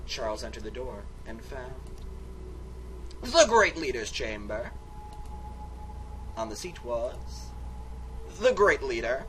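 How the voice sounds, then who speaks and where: A man's voice: medium pitch, sing-song, takes it slowly, long pauses, a bit bright, poor audio. A man doing a dramatic, comic read-aloud of a video game, theatrical, exaggerated and wisecracking. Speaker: game commentator and reader.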